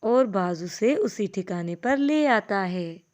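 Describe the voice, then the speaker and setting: A woman's voice: mid-range voice, lively intonation, takes it slowly, a little mellow. Woman narrating a children's cartoon tale. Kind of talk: scripted children's story narration.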